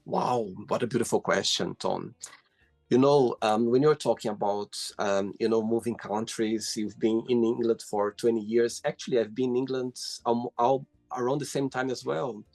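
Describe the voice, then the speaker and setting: A man from Brazil, a native Portuguese speaker, hosting a casual interview in English with an effusive, animated manner. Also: mid-range voice, normal speed, vocal fry, faint music behind. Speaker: host. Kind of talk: casual video call interview.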